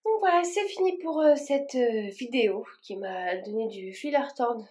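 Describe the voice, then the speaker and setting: A woman's voice: fairly high pitch, lively intonation, normal speed, darkish tone. A woman vlogging casually as she repots her plants, talking in a rambling way with plenty of fillers and restarts. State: a bit warm and tired from the work.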